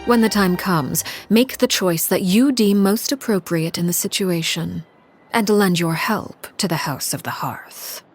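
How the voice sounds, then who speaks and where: An actress voicing a cold, composed woman who leads a household of orphans, speaking in a measured, calm and quietly commanding way with a hushed, breathy voice. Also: fairly low pitch, soft background music. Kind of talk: story-driven game voice acting.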